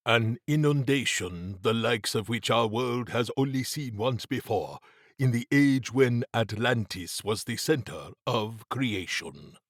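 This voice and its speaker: A man voicing a grave, courteous, learned occult scholar in an audiobook, speaking in a formal, measured, portentous way. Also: medium pitch, expressive, dry recording, clean studio sound. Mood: ominous. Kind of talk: audiobook character dialogue.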